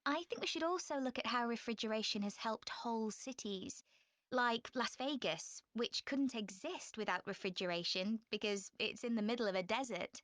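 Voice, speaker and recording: A young female student talks through a class project in a scripted exam-listening dialogue. Her voice sits a bit high and is recorded very dry. She is measured, chatty and politely frank. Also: lively intonation, fairly bright tone, dry recording. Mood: frank, cooperative.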